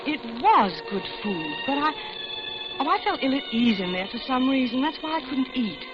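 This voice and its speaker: An actress playing a lonely, polite middle-aged widow who talks on hesitantly, in 1940s radio drama style. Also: female voice, high voice, very animated, moderate pace, over loud music. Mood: uneasy reflection. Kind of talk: 1940s radio acting, first-person narration and dialogue. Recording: muffled, very noisy old radio recording.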